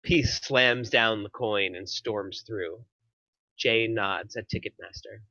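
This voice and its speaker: A man's voice: on the low side, takes it slowly, pushed and bright, dry recording. A man reading stage directions as scripted narration at a table read, on a muffled microphone.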